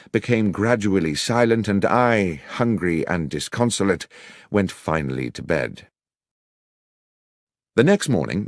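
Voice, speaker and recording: A British male narrator reads a dramatic first-person story. His voice is low with a wide, animated pitch range and measured literary delivery. The recording is close and dry, without room sound. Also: no echo. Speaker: audiobook narrator.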